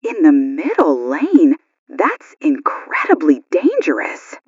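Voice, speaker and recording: Actress as concerned female radio host, husky, lively pitch, alert, audio drama broadcast. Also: takes it slowly, no room sound, muffled recording, exaggerated voice.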